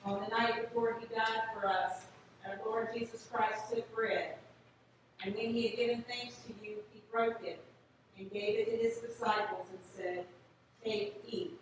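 An older woman, a priest, recites liturgical prayer in a solemn, measured, declaiming manner. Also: fairly high pitch, steady tone, far from the mic. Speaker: Episcopal priest. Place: inside a small church at the altar. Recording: echoey church from afar, noisy background.

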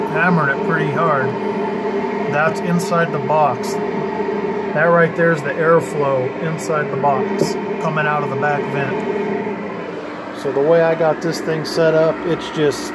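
Casting: male CB radio hobbyist, slightly high voice, folksy and casual, recorded amid heavy equipment noise. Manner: folksy, CB slang, calls people "man". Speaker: CB radio operator and vlogger. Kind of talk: casual radio chat and commentary. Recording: loud vehicle and fan noise.

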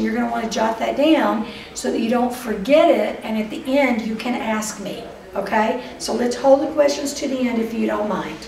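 An older woman with a Texas accent giving a lively, chatty lecture to a live audience, speaking straight to them. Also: on the high side, far from the mic. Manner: lively, chatty, addresses audience directly, acts out voices of dreams. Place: meeting room before a whiteboard. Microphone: far camera. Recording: roomy echo, voice from afar.

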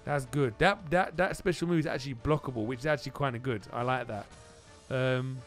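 A man's voice: mid-range voice, fairly quick. Man commentating gameplay over music. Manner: exclaims and repeats phrases, informal slang. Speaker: gaming channel host. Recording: music behind the voice.

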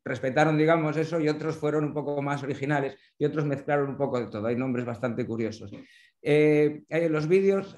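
A man's voice: a little high, darkish tone, reverberant. A middle-aged man on a video call, explaining a video project in a casual, hesitant way, often repeating words as he thinks. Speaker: olympiad organizer presenting a video. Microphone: laptop webcam on a video call.

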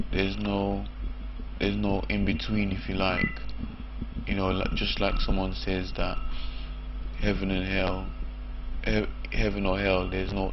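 A man in his thirties, croaky from just waking up, talking haltingly to camera with many restarts. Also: low voice. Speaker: vlogger. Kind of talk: unscripted talk to camera. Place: small room indoors. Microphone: lapel mic. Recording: dull lo-fi sound with noise.

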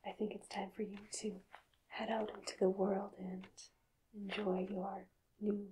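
A woman speaking in a soft, breathy whisper, slow and soothing, as a calm doctor in an ASMR roleplay. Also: mid-range voice, slight background noise, low-quality recording. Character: calm hypnotist doctor addressing a robot patient.

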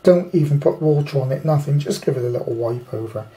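Higher-pitched, mellow British man, rambling. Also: fast. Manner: rambling, blunt, colloquial. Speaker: amateur radio hobbyist reviewing a kit. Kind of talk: casual off-the-cuff product review. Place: indoors at a tabletop.

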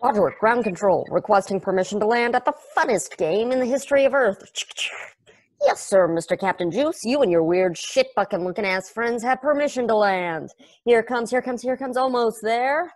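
A woman's voice: on the high side, lively intonation, normal speed. A woman voicing an eager, nerdy fan who earnestly explains a strange game at a table read over video call, rambling and correcting herself. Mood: playful. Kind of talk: table read of a stage play.

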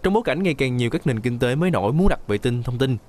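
A man reading scripted news voice-over in a brisk, even newsreading manner. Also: medium pitch. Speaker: news reporter.

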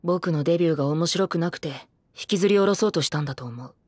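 Man voicing a bitter, high-voiced teenage boy idol. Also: quick pace, a little mellow, dry recording, close to an everyday voice. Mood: flippant, sulky. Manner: cheeky, self-deprecating, theatrical. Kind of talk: Japanese audio drama acting.

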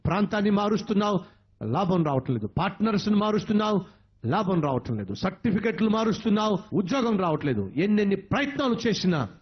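A man preaching a sermon, emotional and repetitive, with dramatic swings in pitch. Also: husky, highs cut off.

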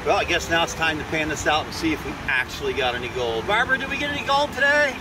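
A man's voice: a little high, fast talker, background noise. Chuckling man panning for gold by a river. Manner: relaxed, chuckling, explains as he works. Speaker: gold prospecting vlogger. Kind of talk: casual outdoor narration. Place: riverside gravel bar outdoors.

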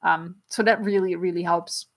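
A woman in her thirties, not a native English speaker, casually answering viewer questions on a livestream from a small home room, talking through a webcam with many "um"s and quick laughs. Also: on the low side, steady tone. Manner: chatty, frequent "um"s and quick laughs, gestures while explaining. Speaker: comic artist and livestream host. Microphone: webcam at desk distance.

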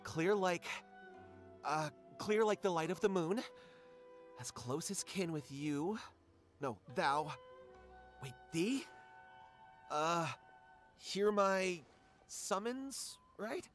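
A nervous young writer who fumbles and restarts his lines slowly, his pitch bouncing about. Soft game music sits behind him. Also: animated, heavily acted. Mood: flustered. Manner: hesitant, fumbling words. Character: nervous young male author. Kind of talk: game dialogue.

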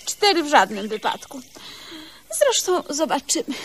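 A woman's voice: high voice, big pitch swings, measured pace, fairly bright tone, exaggerated voice. An actress playing a bossy, exasperated, scornful woman who scolds imperiously in a comic radio play. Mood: brisk, dismissive. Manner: scolding, imperious, mock-solemn catchphrases. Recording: noisy old radio recording.

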